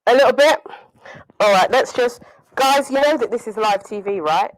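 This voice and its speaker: A woman's voice: a little high, normal speed, poor audio. A woman with a British accent hosting a live online talk show over a video call, laughing often and talking straight to her viewers. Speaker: host.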